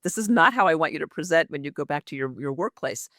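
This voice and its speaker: Expressive woman coach, dry close sound. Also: low-pitched, fast, no room sound. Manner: expressive, emphatic, trained-actress delivery. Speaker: executive communication coach. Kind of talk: conversational podcast interview.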